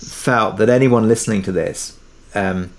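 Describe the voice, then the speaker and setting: Lowish-voiced man, hesitant interview guest. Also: unhurried. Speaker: interview guest, audio drama producer. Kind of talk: conversational interview discussion.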